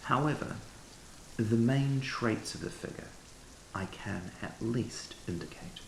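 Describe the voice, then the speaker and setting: A man reading a literary ghost story as a narrator, in a measured, formal storytelling manner. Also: medium pitch, creaky. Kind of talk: scripted literary audiobook narration. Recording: echoey room with faint hiss.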